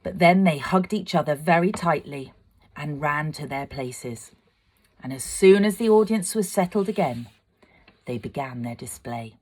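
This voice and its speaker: A woman narrating a children's storybook aloud, with expressive, dramatic delivery. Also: sits low, unhurried, slightly rough. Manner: expressive, dramatic, swelling for excitement and hushing for suspense. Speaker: amateur storyteller.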